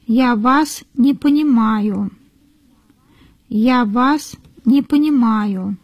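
A woman reading model sentences for learners in a careful, deliberate way, repeating each phrase. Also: on the high side, breathy edge, no room sound. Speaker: native-speaker model reader.

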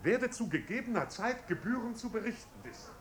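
Fussy, loyal butler in a vintage radio play; ornate formal delivery, very lively intonation. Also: male voice, high voice, a little fast, noisy background. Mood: grave, formal. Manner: stilted, elaborately formal, deferential. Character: fussy, loyal, pompous manservant of a marquis. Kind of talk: 1950s German radio play acting.

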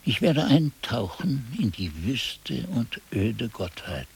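A man's voice: mid-range voice, sing-song, gravelly, a little hiss or hum, exaggerated voice. An actor playing a weary, disillusioned old monk who solemnly recounts his past in a radio drama. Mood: bleak, weary. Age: older. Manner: solemn, deliberate.

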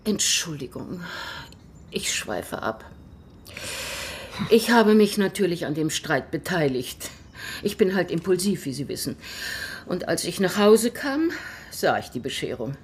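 A woman's voice: deep voice, animated, a little slow, darkish tone, slight background noise. An actress playing an impulsive, talkative female scholar of Islam who lectures emphatically and digresses, in a radio drama. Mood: agitated. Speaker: academic, lecturer.

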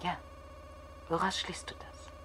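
A woman's voice: a little slow, over loud music, lightly acted. An actor, a woman, playing a sceptical, irritated astronaut who questions directly in a radio play.

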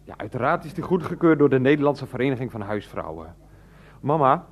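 A man's voice: mid-range voice, sing-song, a little fast. An actor in a Dutch radio play as a grown son pleading and stammering on the phone to his mother. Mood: coaxing. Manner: stammering, coaxing, often interrupted. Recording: dull, band-limited radio recording.

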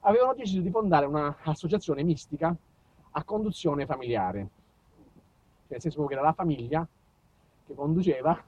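A man's voice: fairly high pitch, lively intonation, fairly quick, pauses often, a little mellow, breathy edge, muffled recording. A man telling a theatrical first-person story, full of colloquial asides. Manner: colloquial, conversational asides to listeners. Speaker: storyteller, monologue performer. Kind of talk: theatrical autobiographical narration.

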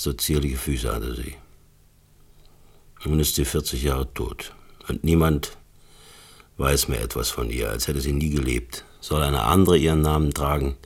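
An actor in a German radio drama playing a gruff, sentimental film director who speaks in a curt, insistent, commanding way. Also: male voice, rumbling low, exaggerated voice.

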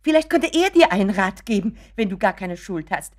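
An actress plays a middle-aged mother in a radio drama, full of worry for her son. Her mid-pitched voice pleads and coaxes, often faltering as she goes. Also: big pitch swings, a little mellow, lightly acted. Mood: eager, hopeful. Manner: halting, coaxing, imploring. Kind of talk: German radio play acting.

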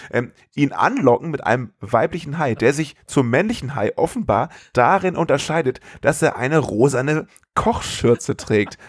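Guy on a film podcast, casual slangy retelling, medium pitch, dry sound. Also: slightly rough, dry recording. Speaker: podcast co-host. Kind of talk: casual film-review chat.

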